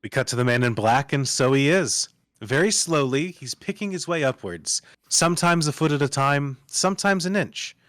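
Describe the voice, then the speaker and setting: A man's voice: medium pitch, moderate pace, fairly bright tone, no echo, studio quality. A man reading stage directions as dramatic scripted narration at a live table read.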